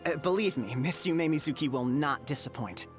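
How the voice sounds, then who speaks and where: A man's voice: a little high, moderate pace, close to an everyday voice. A man voicing an eager, apologetic young bathhouse owner in a video game, with a hesitant, polite manner. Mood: earnest. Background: music.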